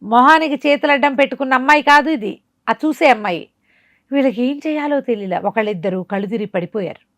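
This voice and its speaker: A woman telling a folk moral tale in a conversational, dramatic way, pausing for asides and rhetorical questions. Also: a little high, fairly bright tone, no echo. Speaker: storyteller. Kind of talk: animated oral storytelling of a folk moral tale.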